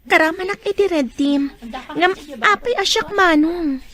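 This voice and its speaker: Shy, high-voiced schoolgirl. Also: measured pace, a bit bright. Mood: bashful. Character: shy, modest young female student, hesitant. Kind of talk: Filipino radio drama acting.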